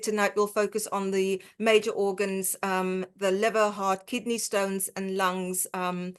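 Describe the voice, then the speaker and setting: A woman from South Africa with a South African accent, a dietitian giving an online lecture, often pausing with fillers and restarting her sentences. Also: medium pitch, a bit bright. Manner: hesitant, many fillers and restarts.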